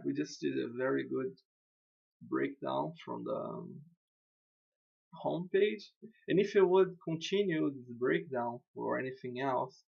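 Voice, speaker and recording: A gravelly-voiced man whose first language is Portuguese talks through a website design in loose, informal English. He repeats himself, restarts sentences and chuckles at his own slips. The recording sounds a little dull and lo-fi. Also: medium pitch, darkish tone. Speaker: web designer. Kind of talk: casual screen-recorded walkthrough.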